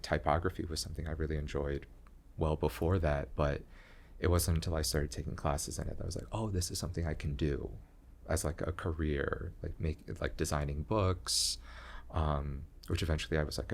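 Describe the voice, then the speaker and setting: A young man talking slowly in a low voice with long pauses, chatting as a guest about his art and career. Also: slight background noise. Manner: slow, low-voiced, pausing talk. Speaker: comedian and graphic design teacher, guest. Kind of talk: casual interview chat.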